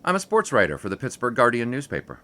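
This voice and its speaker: An actor playing a wry young sportswriter who also narrates, in a 1920s audio drama. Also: male voice, medium pitch, huge pitch swings, quick pace, fairly bright tone. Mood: polite. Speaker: narrator and lead character.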